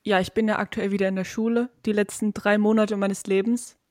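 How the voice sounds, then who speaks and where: A young female co-host with a blocked nose from a cold, talking in an easygoing, chatty way at a middle pitch. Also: level tone, a little fast, darkish tone. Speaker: podcast co-host. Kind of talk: casual chat.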